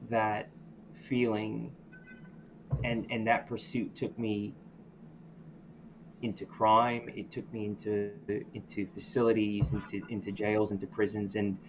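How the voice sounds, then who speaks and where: A middle-aged man with a fairly low voice tells his own story as a podcast guest, halting and reflective, circling back and restarting his sentences. There is a faint hiss of noise under the recording. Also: level tone. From United States. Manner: reflective, halting, with many restarts and "you know". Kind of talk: candid personal storytelling.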